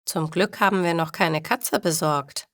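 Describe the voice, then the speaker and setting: A woman voicing a blunt but soft-hearted parent in a storybook, frank and dryly disapproving. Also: lowish voice, measured pace, no echo, close to an everyday voice. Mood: relenting.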